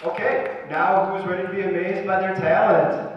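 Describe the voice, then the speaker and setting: A man's voice: sits high, steady tone, normal speed, crowd noise. A man emceeing a school pageant, announcing to a live audience in an upbeat, playful showman manner. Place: large echoey school auditorium. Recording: far-off echoey hall, muffled, noisy.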